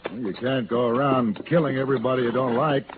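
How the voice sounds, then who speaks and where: An actor playing a steady, principled Western gunfighter with an American accent and terse, measured delivery in a 1950s radio drama. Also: male voice, medium pitch, close to an everyday voice. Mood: calm, sober. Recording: noisy, tinny old-time radio recording.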